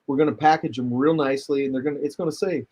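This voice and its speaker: A husky-voiced man talks through a long, rambling story in casual conversation. He restarts his sentences often and gets animated as he goes. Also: mid-range voice, very fast. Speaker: guest musician. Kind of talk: casual chat.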